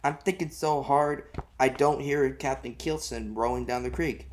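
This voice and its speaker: A man reads a story aloud in the first person. He has a medium-pitched voice and tells it in a folksy storytelling style, like a homemade audiobook. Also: steady tone, normal speed, a little mellow, a little hiss or hum. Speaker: amateur audiobook reader.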